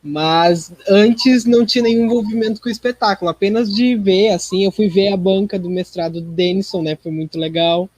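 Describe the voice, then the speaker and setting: A man's voice: high-pitched, a little fast, few pauses, darkish tone, dry recording. A man with a southern Brazilian accent from Rio Grande do Sul, chatting casually as an actor being interviewed.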